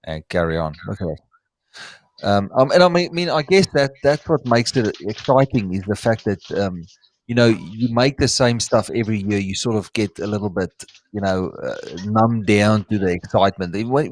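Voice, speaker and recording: A man's voice: on the low side, animated, normal speed, no room sound. A man with a South African accent and Afrikaans as his first language, chatting casually and wordily as a reptile breeder hosting a show, sometimes searching for his words. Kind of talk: casual unscripted chat.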